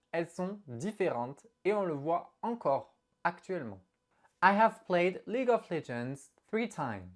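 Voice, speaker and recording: A woman teaching an online English lesson in an animated, expressive way as she explains to viewers. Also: fairly low pitch.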